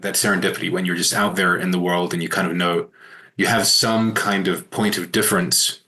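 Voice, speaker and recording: A middle-aged man with a New Zealand accent, telling stories as an author guest in a relaxed, self-deprecating way. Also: deep voice. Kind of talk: conversational interview.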